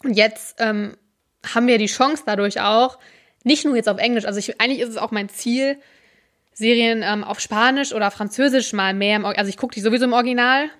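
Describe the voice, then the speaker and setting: A woman's voice: on the high side, a little fast, a bit bright. Chatty young woman explaining things. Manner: explains at length, often hesitates with fillers. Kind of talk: casual chat about subtitles and series.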